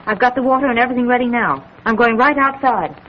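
Casting: actress as obliging housemaid, rapid delivery, vintage radio play with muffled sound. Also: female voice, fairly high pitch, close to an everyday voice. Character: obliging, busy household maid, a woman. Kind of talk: 1930s radio acting. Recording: muffled, noisy, humming 1930s radio recording.

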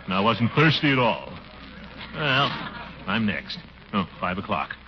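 A man's voice: lowish voice, big pitch swings, a little slow. A man playing a pompous, blustering middle-aged uncle and city official in a 1940s radio comedy. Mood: grumbling. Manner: pompous, blustering, exclamatory, muttering to himself. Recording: muffled, noisy 1940s radio recording.

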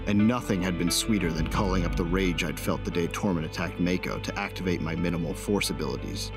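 An actor playing a cocky, hot-tempered bounty hunter who narrates a space opera audio drama in a wry, colloquial way. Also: male voice, deep voice, close to an everyday voice. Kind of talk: first-person scripted audio drama narration.

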